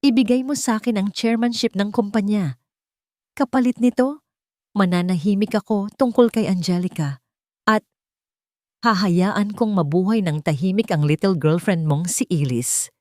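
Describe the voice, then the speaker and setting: A woman's voice: mid-range voice, mellow, dry recording, clean studio sound, voice pushed up, close to an everyday voice. A woman voicing a menacing, scheming rich aunt in a dramatic audio love story, taunting and theatrical. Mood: smug threatening. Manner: mocking, taunting, slow theatrical delivery.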